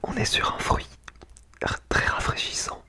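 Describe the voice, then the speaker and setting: An ASMR creator, speaking in a breathy, unvoiced whisper in an informal, slangy, chatty way while reviewing food. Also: a little slow, sharp tone. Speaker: ASMR video creator and host.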